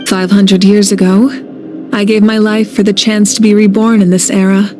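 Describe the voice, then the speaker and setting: An actress playing a resolute, composed warrior leader in a video game, speaking earnestly. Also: female voice, medium pitch, flat delivery, moderate pace, darkish tone, faint music behind, close to an everyday voice. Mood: solemn resolve. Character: resolute warrior archon woman, calm, self-sacrificing leader. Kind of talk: video game cutscene acting.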